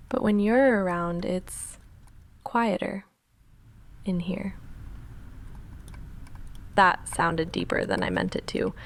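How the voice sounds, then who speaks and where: A woman playing a goth vampire roommate in an intimate ASMR roleplay, soft-spoken and halting, dry and guarded but quietly affectionate, often slipping into near-whisper. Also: mid-range voice, slight background noise. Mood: defensive, deadpan.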